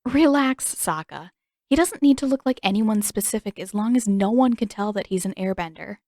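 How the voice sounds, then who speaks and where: A sensible, caring teenage girl who looks out for the younger ones, as a woman reading a storybook puts her on. She speaks firmly and kindly, a little higher than the narrator's own voice. The recording is close and dry. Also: animated, normal speed, darkish tone, no echo, lightly acted. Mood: amused.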